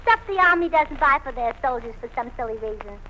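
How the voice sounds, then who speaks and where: A woman's voice: high-pitched, animated, a little fast, sharp tone, rough recording. A woman playing a cheerfully illogical, scatterbrained young wife in a 1940s radio comedy, talking in a chirpy, earnest way. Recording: old radio broadcast with hiss.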